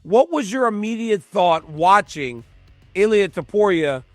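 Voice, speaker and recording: A man's voice: high voice, lively intonation, measured pace, dry recording, faint music behind. A man interviewing a fighter, asking long, chatty, run-on questions and backing up answers with short acknowledgments.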